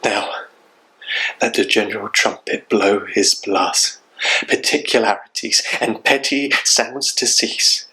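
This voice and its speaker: A man's voice: fairly low pitch, slow, sharp tone, low-quality recording. A man playing a grief-stricken, vengeful young warrior in a Shakespeare monologue, declaiming with anguished intensity. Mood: grieving.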